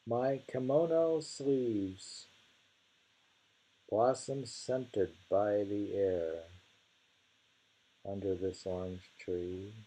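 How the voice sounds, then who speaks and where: A man with a gravelly, husky voice reads poetry slowly and deliberately, lingering over phrases and adding informal commentary. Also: lowish voice, mellow, rough recording.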